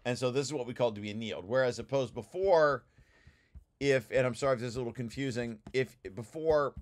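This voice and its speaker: A man giving an informal technical walkthrough, explaining as he reads and often stopping to correct himself. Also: mid-range voice. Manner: halting, self-correcting, explanatory. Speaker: presenter reading through own technical paper.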